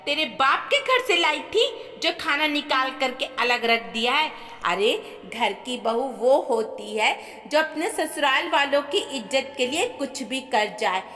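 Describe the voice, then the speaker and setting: A bossy old mother-in-law, acted out by a woman narrator, scolds in a high, piercing voice. She is taunting and stingy, handing out orders in a family audio story, with long reverb around her. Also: animated, measured pace, heavily acted. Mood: harsh scolding. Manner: sharp, taunting, commanding.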